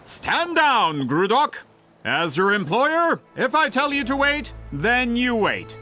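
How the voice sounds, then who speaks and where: A man playing a suave, scheming gentleman employer in an anime-style video game, polished, formal and flattering. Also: very high voice, slow, soft background music, full character voice. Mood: commanding.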